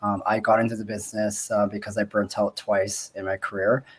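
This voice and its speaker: A man in his forties, from the Philippines, speaks on a video call with a voice made raspy by a cold. He rambles, with lots of fillers. His voice is low. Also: flat delivery, fairly quick. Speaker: guest asking questions. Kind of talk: casual video call chat.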